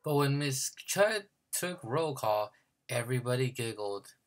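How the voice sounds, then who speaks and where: A man reads a children's story aloud in a mid-pitched voice. His intonation is very animated, rising and falling widely. He narrates expressively, with deliberate dramatic emphasis. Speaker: storybook reader.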